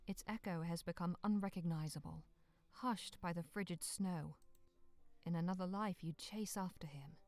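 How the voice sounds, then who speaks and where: A woman's voice: fairly low pitch, moderate pace, a little mellow, no echo, lightly acted. A woman narrating a fantasy visual novel game in a solemn, literary manner as its storyteller.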